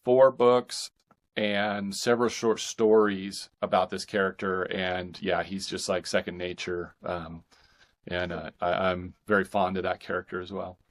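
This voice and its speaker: A man with a fairly low voice talks as a guest author in a casual interview. His phrasing is thoughtful and halting, feeling its way through each answer. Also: little pitch movement.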